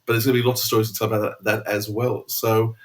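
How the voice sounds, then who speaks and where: A man's voice: lowish voice, little pitch movement, fast talker. An enthusiastic Australian man chatting casually as a podcast co-host, rambling along as he goes.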